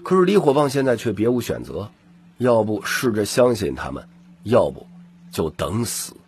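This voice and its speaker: A man narrating an audiobook in a scripted, dramatic storytelling style, with a husky, gravelly voice. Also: fairly low pitch, sing-song, moderate pace, darkish tone, slight background noise.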